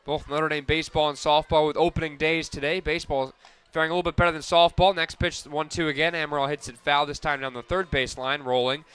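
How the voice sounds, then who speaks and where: A man calling softball play-by-play for radio, narrating each pitch and play in crisp descriptive detail. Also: flat delivery, quick pace, few pauses. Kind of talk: live softball radio commentary.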